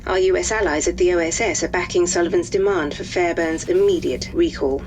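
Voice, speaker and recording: A woman acting a brisk military intelligence officer in a war game. Her voice is on the low side, formal and matter-of-fact, with faint rumble behind. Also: a little mellow, lightly acted. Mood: official. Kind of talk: video game briefing narration.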